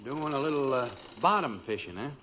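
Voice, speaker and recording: Genial local, unhurried manner, lively mid-pitched voice, old radio comedy, noisy recording. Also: male voice, highs cut off. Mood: genial, sly. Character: genial, unhurried male stranger at a lake. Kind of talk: 1950s radio comedy acting.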